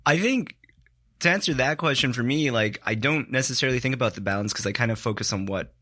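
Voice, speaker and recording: A man's voice: medium pitch, fast, pushed and bright. A man guesting on a studio podcast, talking in a loose, reflective way and filling his sentences with "like". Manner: rambling, heavy use of "like", reflective. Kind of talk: casual studio chat.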